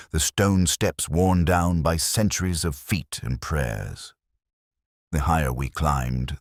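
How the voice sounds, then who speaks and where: A man playing a brooding, melancholy police detective, narrating his story in a measured, solemn, literary manner. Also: sits low, no room sound, studio quality, full character voice.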